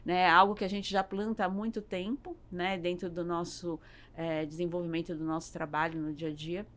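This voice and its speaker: A Brazilian woman talks as an interview guest in a conversational style. Her pitch is a bit low, and she moves haltingly through her thoughts, repeating words and checking in with small tag questions. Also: level tone, fairly bright tone. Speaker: podcast guest, salon business owner.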